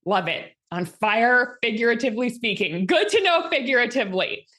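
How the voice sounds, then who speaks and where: Upbeat coach lady, 30s, voice a bit worn from talking, kinda high. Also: animated, moderate pace, fairly bright tone. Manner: upbeat, reads out audience comments. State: slightly strained voice, healing. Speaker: host and manifestation coach. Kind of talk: live coaching session.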